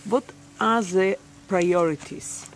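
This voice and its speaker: An interviewer asking slow, simple questions and prompting often with short murmurs. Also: mid-range voice, slight background noise, rough recording. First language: not English. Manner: slow, prompting with frequent mm-hmms. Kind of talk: on-site video interview.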